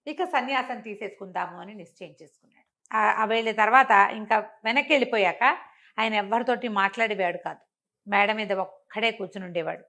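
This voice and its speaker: A woman telling a moral folk tale in a conversational, animated way, acting out dialogue and asking rhetorical questions. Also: mid-range voice, brisk. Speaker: storyteller.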